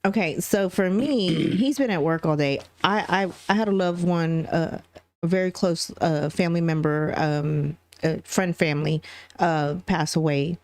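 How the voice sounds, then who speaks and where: A middle-aged woman co-hosting a podcast, telling an emotional story in a casual, animated way with many restarts. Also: fairly low pitch, unhurried, no echo. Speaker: podcast co-host.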